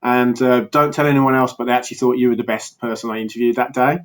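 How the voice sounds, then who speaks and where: A man's voice: mid-range voice, level tone, fast, noisy background. Birmingham-accented man, chatty guest. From England. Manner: chatty, laughs often, many restarts. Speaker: podcast guest, health coach. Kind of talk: casual interview chat.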